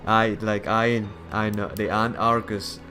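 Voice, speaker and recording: Low-voiced young man rambling while gaming. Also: flat delivery, bright, forceful tone, faint music behind. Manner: rambling, halting, repeats phrases. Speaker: game livestreamer. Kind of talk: casual chat while gaming.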